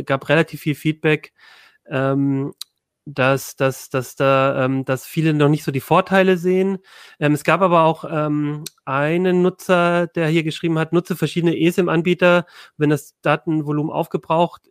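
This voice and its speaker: A man hosting a tech podcast, speaking with a southern German accent and often pausing with fillers and restarts. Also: fairly high pitch, dry recording, clean studio sound. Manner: hesitant, many fillers and restarts. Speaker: podcast host. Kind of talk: casual tech podcast chat.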